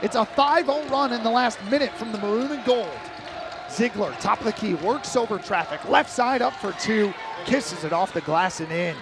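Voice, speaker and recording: A man doing live radio play-by-play of basketball as the announcer, calling the action in clipped, rapid-fire phrases. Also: high-pitched.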